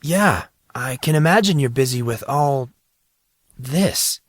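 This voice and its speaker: A man's voice: medium pitch, animated, takes it slowly, no echo, clean studio sound. A man voicing an awed, uneasy teenage boy with a hesitant, questioning manner in an audiobook. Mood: curious, stalling. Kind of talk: audiobook character dialogue.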